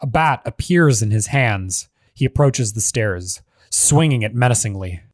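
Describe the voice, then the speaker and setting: A man's voice: medium pitch, animated, unhurried, no room sound. Man narrating screenplay directions, dry close sound.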